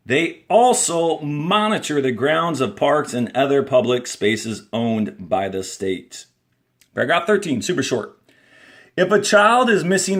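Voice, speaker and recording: A man teaching young students, reading a textbook aloud in a deliberate, instructional way and adding brief explanatory asides as he goes. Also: mid-range voice. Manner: deliberate, instructional, signposts each page and paragraph.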